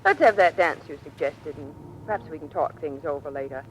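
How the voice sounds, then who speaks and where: An actress playing a poised, teasing American officer's wife in an old-time radio drama. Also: female voice, sits low, fairly quick, natural voice. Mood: coaxing. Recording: noisy vintage radio recording.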